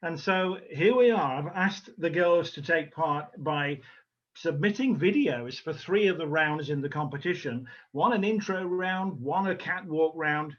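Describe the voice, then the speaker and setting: A man hosting an online event informally and haltingly, speaking over a video call. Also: a little high, a bit bright. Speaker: host and organiser of a pageant. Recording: muffled lo-fi video call audio.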